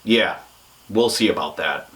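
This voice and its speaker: A man playing a gruff, stubborn police negotiator boss with a curt, dismissive manner in a comedy sketch. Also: sits low, a little slow, fairly bright tone, rough recording.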